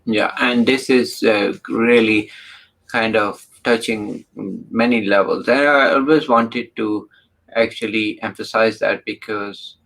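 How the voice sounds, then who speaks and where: A man hosting an interview, talking in a halting way with many restarts and fillers. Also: fairly low pitch, fairly even intonation, a bit bright, low-quality recording. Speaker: interview host. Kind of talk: casual interview talk.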